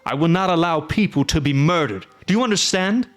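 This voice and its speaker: A man voicing a loud, gruff middle-aged castellan who speaks emphatically with sharp rises. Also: a little high, lively intonation, fairly quick, faint music behind, voice pushed up, lightly acted. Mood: blustering. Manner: exclaiming, emphatic, rising.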